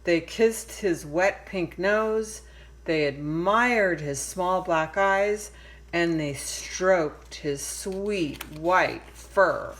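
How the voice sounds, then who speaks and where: A woman narrates a children's storybook aloud. Her voice sits slightly low, and she takes her time, reading with deliberate expression. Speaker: storybook reader.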